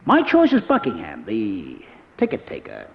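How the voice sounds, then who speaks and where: An actor playing an authoritative, methodical professor in an old radio drama, measured and determined. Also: male voice, on the low side, wildly swooping pitch, gravelly, full character voice. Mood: decisive. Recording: dull, reverberant vintage radio recording.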